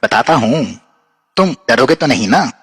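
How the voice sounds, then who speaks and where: A man acting as a composed, watchful undercover detective in an audio drama, explaining things in a measured way. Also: mid-range voice, expressive, a bit bright, breathy edge. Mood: explaining, secretive. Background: background music.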